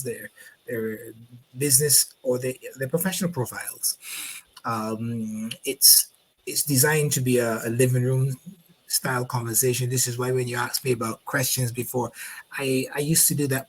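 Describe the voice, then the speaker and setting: A man's voice: mid-range voice, slightly rough, poor audio. A man from Barbados with a Barbadian accent, hosting a live online interview over a video call and repeating words as he finds his phrasing. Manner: rambling, repeats words while finding phrasing. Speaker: host.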